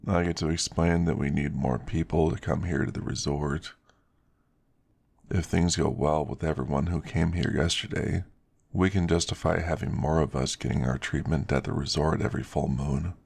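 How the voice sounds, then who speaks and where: A man reads the part of an earnest male werewolf in an ASMR story. The voice is very low and gravelly, explaining things in a level, measured tone. It sounds dry and close. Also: steady tone, darkish tone, heavily acted. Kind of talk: ASMR story character dialogue.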